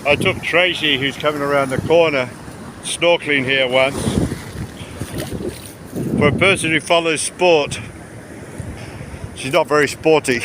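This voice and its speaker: A man's voice: on the high side, steady tone. Man rambling casually outdoors, windy background. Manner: rambling, pausing mid-sentence. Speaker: travel vlogger. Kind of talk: casual walking travel commentary. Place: outdoors on a rocky seashore by tidal pools. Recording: windy outdoor recording with sea noise. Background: wind and waves.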